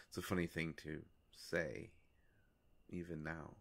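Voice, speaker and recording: A man plays an ancient, courtly vampire in an intimate audio roleplay. The voice sits very low with a heavy creak. He speaks very slowly and formally, with melancholy, hushed hesitations. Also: little pitch movement, full character voice. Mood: curious, intense. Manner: formal, halting, hushed, measured phrasing.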